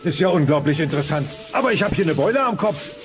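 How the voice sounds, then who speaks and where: A man's voice: fairly high pitch, animated, fast, over music, lightly acted. A man playing a pompous, grumbling middle-aged dinosaur father in a cartoon dub. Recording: hissy old cassette transfer.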